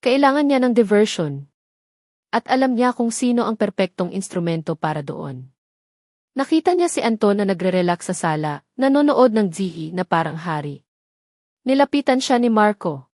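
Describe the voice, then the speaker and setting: A woman's voice: medium pitch, sing-song, moderate pace, a little mellow, dry recording, clean studio sound. A woman with a Filipino accent reading a scripted dramatic story as a storyteller.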